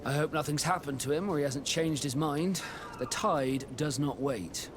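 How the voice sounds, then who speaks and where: A man playing a formal, stern middle-aged ship's captain with a measured, commanding way of speaking in a video game. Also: fairly high pitch, background noise, close to an everyday voice. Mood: formal, firm. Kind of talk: video game dialogue acting.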